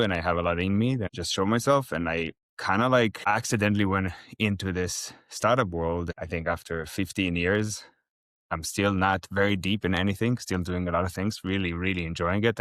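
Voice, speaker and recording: A man, a founder talking reflectively as a podcast guest, pausing often with fillers. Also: deep voice, fairly bright tone, no echo, studio quality. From Israel. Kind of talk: podcast interview.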